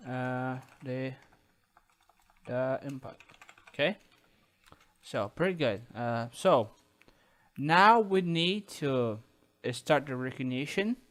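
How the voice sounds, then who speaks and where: A man with a Brazilian Portuguese accent, not a native English speaker, talking slowly and haltingly through a coding tutorial with many fillers and restarts. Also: mid-range voice, a bit bright. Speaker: programming tutorial host. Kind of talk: screen-recorded coding tutorial.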